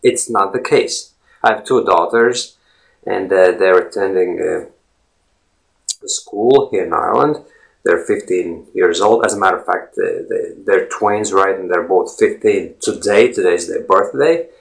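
Latvian man in his forties, native Latvian, gravelly low voice, loose rambling talk, repeats himself. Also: a little slow, low-quality recording. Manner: rambling, filler-heavy, repeats words. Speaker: language blogger. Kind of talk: casual monologue.

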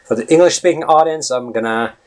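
A man's voice: medium pitch, quick pace. Chatty Latvian-accented man coaching English. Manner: chatty, rambling, fillers and self-corrections. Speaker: podcast host, English fluency coach. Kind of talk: casual video monologue.

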